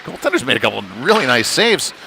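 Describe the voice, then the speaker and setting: A man with a mid-pitched voice works as a play-by-play hockey commentator. He follows the action live in short, clipped phrases. Clear rink noise sits behind his voice throughout. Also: very animated, quick pace, sharp tone. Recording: noisy rink ambience.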